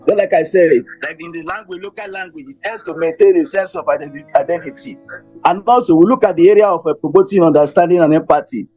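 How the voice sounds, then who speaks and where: Husky Nigerian man giving a speech, muffled audio. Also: a little high, quick pace, dark tone. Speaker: invited speaker, professor and motivational speaker. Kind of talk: formal conference presentation. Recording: dull, muffled audio with music.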